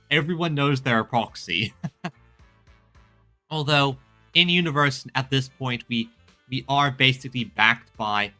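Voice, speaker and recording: A male streamer comments casually on a game in a very bright, forceful voice of average pitch. He speaks haltingly, doubling back on words, over faint music. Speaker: gaming streamer.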